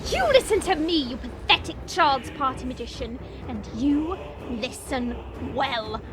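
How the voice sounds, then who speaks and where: A woman's voice: high-pitched, lively intonation, unhurried, over music. A woman playing a haughty, short-tempered dragon princess in an audio drama, imperious and mocking. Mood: menacing. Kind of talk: audio roleplay drama acting.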